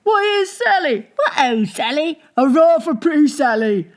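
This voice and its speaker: A woman's voice: high-pitched, sing-song, a little slow, voice pushed up. A woman voicing boisterous, impatient tavern drinkers calling out rowdily in a storybook. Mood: cheering. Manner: shouting, rowdy. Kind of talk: storybook character reading.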